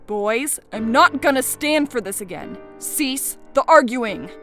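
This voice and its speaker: A woman's voice: high voice, expressive, unhurried, a bit bright, soft background music. A woman playing a firm, exasperated young female peacekeeper in an audio drama, commanding and scolding. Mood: stern.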